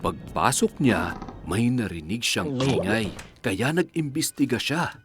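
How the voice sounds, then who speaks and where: A man playing a patient parent in a children's cartoon, telling bedtime stories with an expressive storybook delivery. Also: mid-range voice, measured pace, lightly acted. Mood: storytelling. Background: music.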